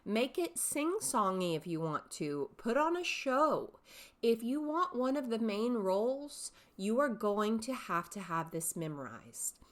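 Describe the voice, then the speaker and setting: A woman drama teacher giving instructions and narrating, with deliberate emphasis. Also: fairly high pitch, sing-song. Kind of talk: instructional talk and poem narration.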